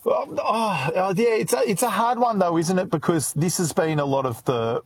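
Husky Australian man, chatty radio guest. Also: sits high, normal speed. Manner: chatty, hesitant with fillers and restarts. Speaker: rural radio presenter, guest contributor. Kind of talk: casual radio chat.

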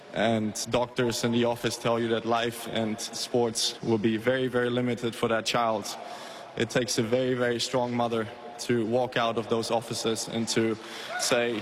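A man giving an earnest, emphatic speech, played as a recorded clip. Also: medium pitch. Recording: reverberant hall from a distance.

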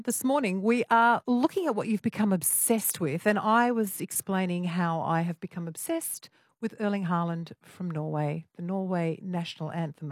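A woman's voice: lowish voice, dry recording, clean studio sound. A woman with an Australian accent, chatting live as the host of a late-night radio talkback show.

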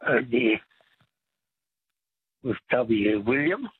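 A man calling in to a radio quiz by phone, hesitant and slow to answer. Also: mid-range voice, animated, slight background noise. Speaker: caller. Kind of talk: quiz call on air. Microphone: telephone. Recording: husky phone line.

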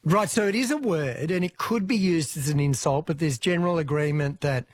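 A man's voice: a little high, a little fast, no room sound, clean studio sound. A man hosting a radio call-in show, chatting with callers in a friendly, interested way. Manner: friendly, interested, brief affirmations. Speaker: radio host. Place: radio studio. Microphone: studio mic.

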